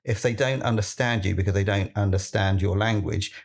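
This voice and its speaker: A man's voice: lowish voice, fairly even intonation, fairly quick, gravelly. A man co-hosting a podcast with casual, dry, teasing banter. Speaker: podcast co-host.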